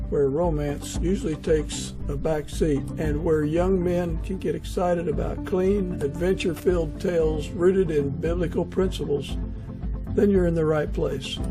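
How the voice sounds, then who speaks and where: A man's voice: fairly high pitch, low-quality recording. A man speaking as a podcast host, delivering a scripted introduction.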